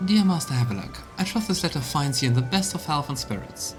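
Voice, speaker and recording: A man's voice: a little high, big pitch swings, fast, faint music behind, voice pushed up. A man voicing an effusive, well-bred lady explorer reading out her flowery, formal letter in a game. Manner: formal, florid, theatrical.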